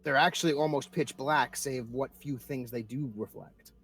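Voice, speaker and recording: A man's voice: mid-range voice, steady tone, normal speed, fairly bright tone, breathy edge. A man narrating a tabletop roleplaying game as game master, informally describing scenes and questioning the players.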